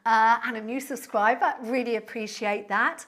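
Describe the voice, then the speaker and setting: A woman's voice: a little high, steady tone, normal speed, a bit bright. A woman of sixty, a Pilates instructor chatting on a live stream, thanking viewers by name in a friendly, rambling way with fillers. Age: 60s. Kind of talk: live-stream chat reading out viewer comments.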